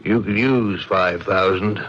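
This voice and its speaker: Low, slow, gruff middle-aged American father. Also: a bit bright. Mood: pressing, irritated. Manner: curt, surly. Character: gruff, greedy, impatient widowed father, a murder suspect. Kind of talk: radio mystery drama acting. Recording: muffled old radio drama recording.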